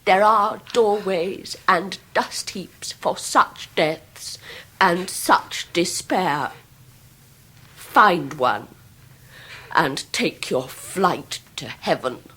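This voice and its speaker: An actress playing a scornful upper-class English Victorian lady in a radio drama, with a breathy, biting, theatrical delivery. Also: on the low side, extremely slow, bright, forceful tone, full character voice, low-quality recording. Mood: vicious mockery. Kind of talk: 1990s radio drama acting.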